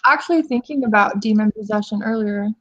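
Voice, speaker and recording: A woman's voice: medium pitch, brisk, fairly bright tone, a little hiss or hum. A woman chatting casually in a group, offhand, tossing out abrupt questions. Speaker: chat participant. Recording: dry, dull lo-fi recording.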